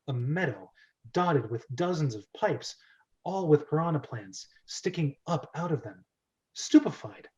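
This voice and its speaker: A man with a fairly high voice reads screenplay directions aloud as host of a casual group reading. His delivery is theatrical and jokey, with informal asides. The audio is slightly dull and lo-fi. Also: a little mellow. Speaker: host and script reader.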